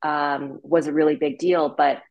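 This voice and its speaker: A woman in her thirties with a Canadian accent tells her story as an interview guest. Her voice sits low, and she talks in a loose, candid way, leaning on fillers like "like" and "you know.". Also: level tone, unhurried, dull audio. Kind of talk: casual conversational storytelling.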